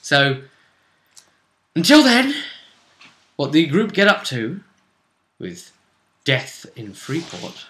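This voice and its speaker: Bright-voiced man recapping a tabletop game. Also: fairly high pitch, huge pitch swings, slow pace, pauses often, echoey room. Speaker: game master and show host. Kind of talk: recapping a tabletop role-play session.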